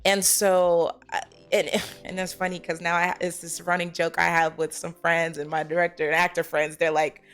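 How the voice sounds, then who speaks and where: Chatty actress over faint music. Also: female voice, on the low side, level tone, normal speed, a bit bright, clear, resonant tone. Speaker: film actress being interviewed. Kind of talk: casual chat.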